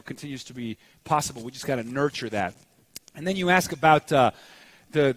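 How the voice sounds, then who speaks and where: A man with a fairly high speaking voice fields audience questions in a conference hall. He sounds enthusiastic and loose, filling his answers with casual asides. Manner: enthusiastic, conversational, many "you know" fillers.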